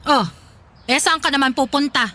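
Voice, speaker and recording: A woman's voice: high voice, normal speed, sharp tone. A woman acting a harsh, domineering older mother in a radio drama, scolding and mocking. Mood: suspicious.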